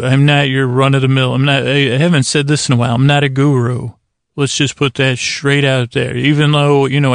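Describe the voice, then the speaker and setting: A man hosts a bedtime podcast in a creaky, fry-laden voice that barely rises or falls. He meanders, trips over words and interrupts himself, keeping a lulling, soothing tone. The sound is dry, with no room around it. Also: mid-range voice, dry recording. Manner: meandering, stammering, self-interrupting. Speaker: podcast host. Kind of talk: rambling bedtime monologue.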